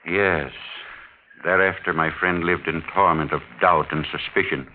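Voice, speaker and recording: Wealthy older gentleman, 1940s radio mystery: very low, measured, quietly menacing, genteel. Muffled, lightly noisy vintage recording. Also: steady tone. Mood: insinuating. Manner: measured, formal, insinuating, falsely genial. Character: wealthy, older husband, suave, quietly menacing mining engineer.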